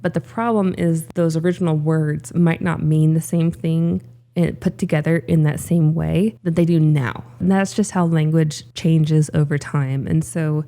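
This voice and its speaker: A woman's voice: low-pitched, steady tone, a little slow, warm tone. A woman in her thirties with a light Texan accent, hosting a Bible study video from home, earnest and chatty as she thinks aloud. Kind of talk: casual explanatory talk to camera. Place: at home, seated by a dog door.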